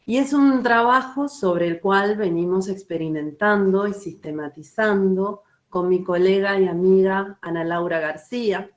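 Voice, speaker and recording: A woman from Uruguay with a Uruguayan Spanish accent, a schoolteacher presenting her work slowly and carefully at an online book launch. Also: medium pitch. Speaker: guest teacher.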